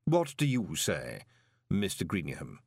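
A man voicing a calm, commanding ruler who speaks in a measured, quietly menacing tone. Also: low voice, gravelly, slightly rough, no echo, studio quality, full character voice. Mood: cold.

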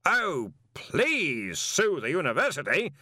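A man voicing a loud, jovial chancellor who bellows his lines. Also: sits high, very animated, moderate pace, no room sound, studio quality, voice pushed up, full character voice. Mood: hearty. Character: booming jovial male wizard chancellor.

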